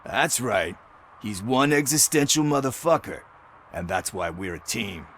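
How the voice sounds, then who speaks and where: An actor playing a brash, easygoing police partner in a video game, talking casually with sarcastic jokes. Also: male voice, fairly low pitch, expressive, measured pace, fairly bright tone, slight background noise. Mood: cocky, teasing.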